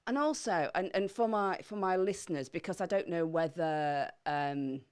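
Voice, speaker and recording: A woman's voice: on the low side, normal speed, darkish tone, smooth. A woman with a Yorkshire accent, hosting a radio interview, encouraging her guest and often restarting her sentences. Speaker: radio host.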